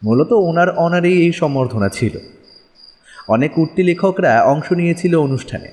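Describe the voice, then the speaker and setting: A man's voice: a little high, animated, moderate pace, a little mellow. A man narrating a scripted horror audio story in a dramatic storytelling style. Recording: long reverb, music underneath.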